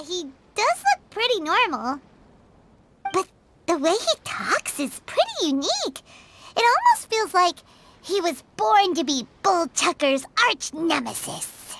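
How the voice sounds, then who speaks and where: Tiny squeaky game fairy, super high n bouncy, excitable, loud noise behind. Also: female voice, takes it slowly, exaggerated voice. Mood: musing. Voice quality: squeaky, childlike. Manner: refers to self in third person, exclamatory. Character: tiny floating fairy companion, childlike, excitable, indignant. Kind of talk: video game character acting.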